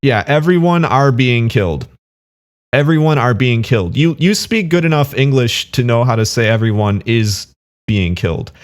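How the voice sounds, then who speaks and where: A man's voice: mid-range voice, animated, normal speed. A man giving casual, argumentative reaction commentary on a video. He is dismissive and keeps circling back with fillers. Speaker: online commentator.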